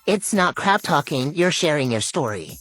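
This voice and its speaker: Lively low-pitched woman giving online advice. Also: normal speed, no echo. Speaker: social media content creator. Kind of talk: advice monologue to viewers.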